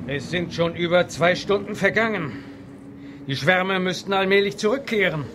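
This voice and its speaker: A concerned space adventurer played by a man in a sci-fi radio play. He sounds serious and earnest in a high male voice, with clear buzzing effects behind. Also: normal speed, background noise, natural voice. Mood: urgent. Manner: serious, urgent. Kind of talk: German children's audio drama.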